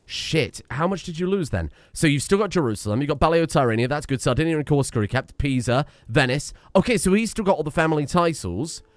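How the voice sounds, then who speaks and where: A young man giving casual, excitable commentary on a strategy game, often exclaiming and restarting his sentences. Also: fairly high pitch, quick pace, few pauses, no echo, studio quality. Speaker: gaming streamer. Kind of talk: casual let's-play commentary.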